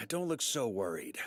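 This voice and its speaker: A middle-aged knight commander in an anime game, exasperated but kindly. He lectures in a fairly high, hugely expressive voice over light music. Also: full character voice. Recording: music behind the voice.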